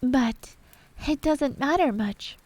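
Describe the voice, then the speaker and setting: A woman's voice: high voice, slow pace, darkish tone, no room sound, voice pushed up, low-quality recording. A woman voicing a polite, earnest young girl in a storybook tale, with a prim way of talking. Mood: resigned.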